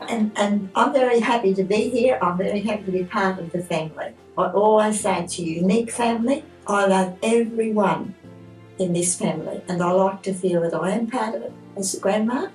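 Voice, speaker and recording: An older grandmother with a soft voice speaks gently, slipping in little jokes. She sounds set back from the mic in an echoey room. Faint music plays underneath. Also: mid-range voice, moderate pace. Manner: gentle, joking. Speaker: family grandmother. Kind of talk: casual family interview. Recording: echoey room heard from far off.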